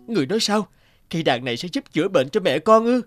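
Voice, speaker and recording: A man's voice: high voice, animated, fast talker, music in the background. An actor playing a devoted, polite young male violinist in a cartoon. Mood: earnest. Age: young adult. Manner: earnest, polite.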